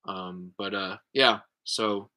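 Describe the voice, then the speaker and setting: A man's voice: on the low side, fairly even intonation, sharp tone. A man talking casually in a response vlog, thinking aloud with many fillers and restarts. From United States. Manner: halting, lots of fillers and restarts. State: tired. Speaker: YouTube creator.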